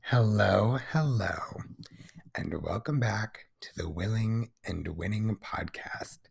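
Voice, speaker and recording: A man with a very deep, congested voice hosts his podcast in a loose, rambling way, full of fillers. His tone is heavily gravelly and rough, and he talks slowly. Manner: relaxed, rambling, lots of fillers. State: congested and scratchy from allergies. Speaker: podcast host. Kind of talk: casual solo podcast chat.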